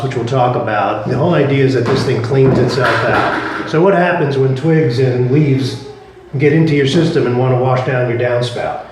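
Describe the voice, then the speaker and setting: A man presenting a home-building show on site, talking in a measured way with frequent "uh"s. His voice sits at an ordinary pitch. The sound is very echoey, with clear background noise behind him. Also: a little mellow.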